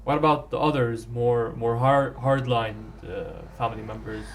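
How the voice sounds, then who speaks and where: A man hosting a podcast, asking questions in a measured, hesitant way with many "uh"s. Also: medium pitch, slight background noise. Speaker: podcast host. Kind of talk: casual interview.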